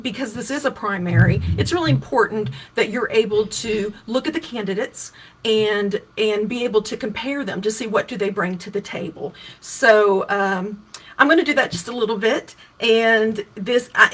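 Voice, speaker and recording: A woman's voice: medium pitch, normal speed, a bit bright, slightly rough, rough recording. A woman in her fifties, a political candidate from Kentucky, explaining voting options and answering viewer questions in a casual, conversational livestream with frequent restarts. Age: 50s.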